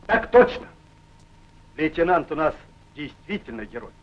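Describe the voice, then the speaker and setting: A man's voice: a little high, very animated, a little slow, slight background noise. An actor playing a plain-spoken Soviet scout soldier telling his general how the prisoner was caught, in folksy 1940s film acting. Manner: folksy storytelling. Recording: dull old film soundtrack.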